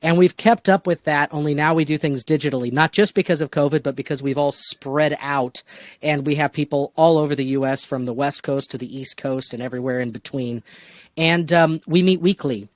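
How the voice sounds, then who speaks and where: A man hosting a relaxed discussion podcast, talking conversationally as he guides the group. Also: fairly high pitch, fairly quick, no room sound. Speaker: podcast host and producer. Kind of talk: casual discussion podcast chat.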